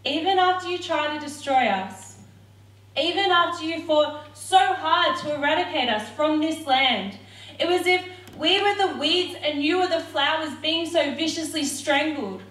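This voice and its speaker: A woman's voice: high voice, normal speed. Defiant woman performing spoken word. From Australia. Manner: rhythmic, defiant, forceful delivery. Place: theatre stage. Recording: roomy hall, mic at a distance.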